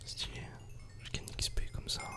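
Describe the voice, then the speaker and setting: A man whispers a calm, casual ASMR game commentary in a breathy, unvoiced murmur, close to the microphone. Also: normal speed, loud music behind the voice. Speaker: ASMR gaming video creator.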